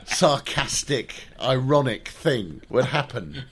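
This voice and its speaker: A man's voice: medium pitch, animated, slow, gravelly, husky. A man guest on a podcast telling wry anecdotes in casual chat, with hesitant restarts.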